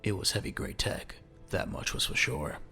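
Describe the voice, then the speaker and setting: A man's voice: moderate pace, sharp tone, soft background music. A man narrating an audio drama from a script in a dramatic storytelling style.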